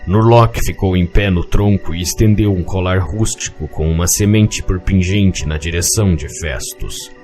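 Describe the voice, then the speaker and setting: A man with a Brazilian accent narrating a scripted fantasy story in a measured, literary way. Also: low voice, darkish tone. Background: music.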